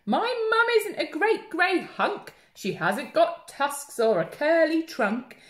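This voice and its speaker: A woman voicing an anxious little lost baby monkey for a storybook, slow and emphatic. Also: sing-song, heavily acted. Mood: upset. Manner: slow, emphatic, high and insistent. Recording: roomy echo.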